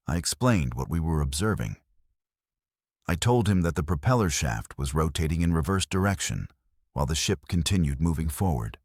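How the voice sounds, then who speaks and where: A man reading a scripted first-person horror story in a measured, grave, deliberate way. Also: rumbling low, no room sound, clean studio sound.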